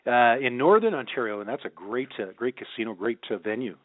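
A man with a mid-pitched voice hosts a radio interview in a conversational style. He is effusive and affirming toward his guest. The sound is very dry and narrow, like a radio broadcast. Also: animated, a little fast, dry recording. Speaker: radio show host, interviewer.

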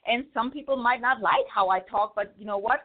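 A woman with a German accent, an author talking fast and stumbling over words as an interview guest. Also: fairly high pitch. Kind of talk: casual interview chat. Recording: phone-line audio.

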